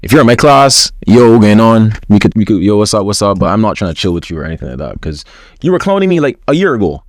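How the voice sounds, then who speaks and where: A man's voice: fairly low pitch, sing-song, quick pace, no room sound, studio quality. A young man as an interview guest, chatting openly in slangy talk with many repeated words. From school system with forms. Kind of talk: casual interview chat.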